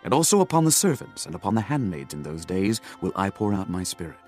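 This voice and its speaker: Solemn man declaiming scripture, pitch swinging dramatically. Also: big pitch swings, fast, a little mellow, soft background music. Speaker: audiobook narrator.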